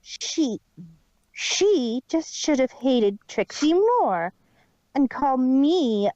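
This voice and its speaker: A woman's voice: sits high, big pitch swings, drawn-out words, dark tone, no echo, muffled recording, full character voice. A woman voicing a cold, imperious female villain pony in a storybook reading, haughty and snarling.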